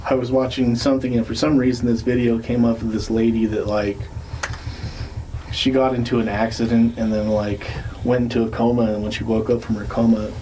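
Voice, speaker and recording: A man's voice: medium pitch, flat delivery, fairly quick, mellow, a little hiss or hum, rough recording. A man co-hosting a casual podcast, telling a long story with many fillers and restarts. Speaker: podcast co-host. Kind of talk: casual chat.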